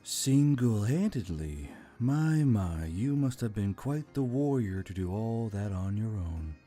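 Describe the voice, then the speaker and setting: A man's voice: on the low side, expressive, slow pace, dark tone. A man voicing a wry, smug magical amulet that speaks with dripping sarcasm in a fantasy story. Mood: mock admiration. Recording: music bed under the voice.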